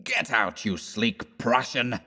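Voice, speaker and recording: A man's voice: lowish voice, moderate pace, darkish tone, lightly acted. A man voicing an angry young American man in a storybook, blunt and contemptuous, sneering and growling threats. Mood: menacing. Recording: long reverberant room echo.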